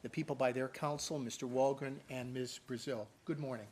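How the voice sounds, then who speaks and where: A man's voice: mid-range voice, steady tone, a little fast, a little mellow. A man speaking formally and precisely as a judge addressing a courtroom. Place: indoor courtroom. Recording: noisy courtroom recording with room ambience.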